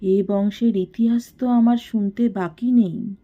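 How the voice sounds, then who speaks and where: Woman acting a slow-speaking, polite young wife. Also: medium pitch, steady tone, mellow, lo-fi sound, lightly acted. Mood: earnest, restrained. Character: timid but clear-spoken young daughter-in-law.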